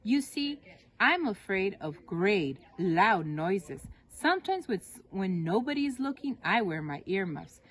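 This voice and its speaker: A woman's voice: medium pitch, big pitch swings, measured pace. A woman voicing a big, helpful but timid lion in a children's picture book read-aloud, confiding with emphasis. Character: big helpful lion confessing fear of loud noises.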